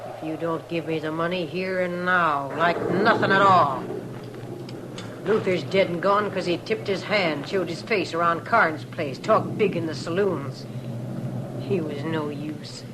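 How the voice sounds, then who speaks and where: A woman's voice: lowish voice, slow. An American actress playing a bitter, cold-hearted middle-aged frontier mother and rustler in a 1950s radio western, with blunt rustic speech. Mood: bitter, menacing. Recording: noisy old radio recording.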